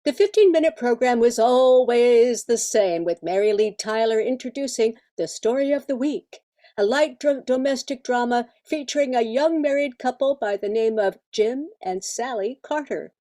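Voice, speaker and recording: A woman's voice: a little high, normal speed, dark tone. An older woman with an American accent reading a prepared presentation on radio history from her notes.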